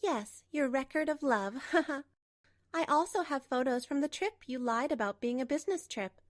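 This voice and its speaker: A woman playing a composed betrayed wife in a manga dub, narrating and speaking with measured, pointed delivery. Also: high voice, lively intonation, no echo, clean studio sound. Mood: coolly sarcastic. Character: betrayed wife, composed, coolly resolute, narrating her story.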